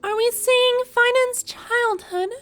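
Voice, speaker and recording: Female streamer, extremely high voice, casual expressive reactions, game music behind. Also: slow.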